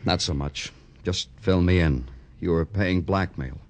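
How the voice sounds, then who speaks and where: Deep-voiced, hard-boiled police detective. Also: male voice, a little slow. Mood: probing. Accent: American. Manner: clipped, wry, hard-boiled. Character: dry, tough police detective questioning suspects. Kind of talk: 1950s radio crime drama acting.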